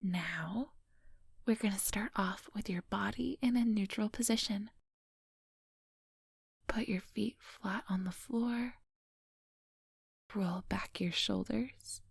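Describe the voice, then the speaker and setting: A female vampire hypnotist, played by a woman murmurs in an extremely breathy whisper with a touch of creak. She takes her time, coaxing and quietly menacing. The ASMR roleplay sounds close and very dry. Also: medium pitch, dry recording, exaggerated voice. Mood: coaxing, controlled. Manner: slow, coaxing, hypnotic instructions. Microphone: close microphone, dry.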